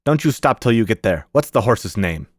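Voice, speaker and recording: Male reader as a bantering, hearty uncle, deep and lively, dry close sound. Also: normal speed, no echo. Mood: jovial. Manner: jovial, bantering. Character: hearty, teasing uncle, middle-aged gentleman.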